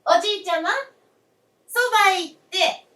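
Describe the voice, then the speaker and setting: An actress playing a polite, solicitous young wife in a staged radio drama, speaking formally and coaxingly. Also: female voice, very high-pitched, steady tone, moderate pace, pushed and bright. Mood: solicitous, projecting. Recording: echoey stage, distant microphone.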